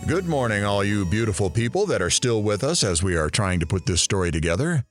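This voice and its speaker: A man's voice: fairly low pitch, big pitch swings, brisk, over music. A man playing a wry radio news host and interviewer in an audio drama, with a dry sense of humour. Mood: upbeat.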